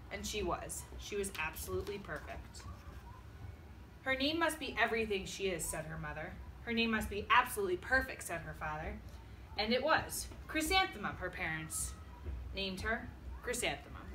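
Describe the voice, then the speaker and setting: Bright teacher reading a storybook aloud. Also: female voice, mid-range voice, far from the mic, a little hiss or hum, poor audio. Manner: lively, lifts her voice for characters' lines. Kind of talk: picture-book read-aloud to children.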